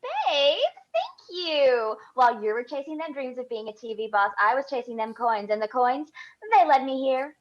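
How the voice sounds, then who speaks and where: Woman playing a brash high-pitched party-girl boss, very animated. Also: moderate pace. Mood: boastful. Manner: loud-mouthed, bossy, gushing. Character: brash, pushy, flashy young woman tech entrepreneur. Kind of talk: live screenplay table read, comedic acting. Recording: dull, lo-fi remote microphone.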